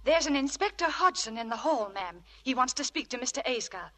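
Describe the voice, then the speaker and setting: A woman's voice: sits high, expressive, a little fast, bright, forceful tone, a little hiss or hum. A woman playing a deferential household maid in 1940s radio drama style. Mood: dutiful. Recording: old radio broadcast.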